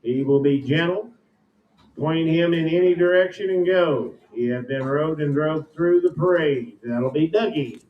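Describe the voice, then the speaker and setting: A man's voice: on the high side, unhurried, highs cut off. An American man working as a livestock auctioneer, with rhythmic, repetitive patter and sales talk. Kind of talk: rapid auction chant mixed with spoken sales pitches. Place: indoor livestock auction ring. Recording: echoey PA in auction barn.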